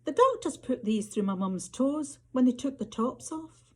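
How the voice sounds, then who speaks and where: A woman's voice: sits high, expressive, moderate pace, slightly rough, pitched above the performer's own voice. A woman reading the part of an earnest schoolgirl with a Scottish accent, keen to impress, in a story.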